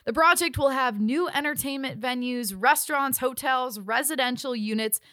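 Higher-voiced woman hosting, dry close sound. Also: normal speed, bright, forceful tone, no echo. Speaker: sports podcast host. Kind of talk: polished presenting and interviewing.

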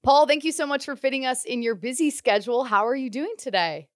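A woman's voice: high-pitched, fairly quick, no echo. Woman podcast host, friendly questions, dry close mic. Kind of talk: podcast interview.

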